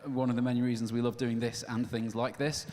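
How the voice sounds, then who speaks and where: A man's voice: mid-range voice, level tone, fairly quick, dark tone. Hesitant man hosting live. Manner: hesitant, many fillers, joking. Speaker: event host. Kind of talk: live event introduction. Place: live venue stage with audience. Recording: long hall reverb.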